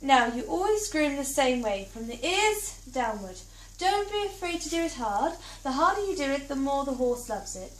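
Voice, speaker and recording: A woman reading plays aloud as an amateur actor, brisk and chatty. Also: sits high, very animated. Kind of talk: play reading, theatrical. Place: small theatre stage. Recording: roomy theatre from far off.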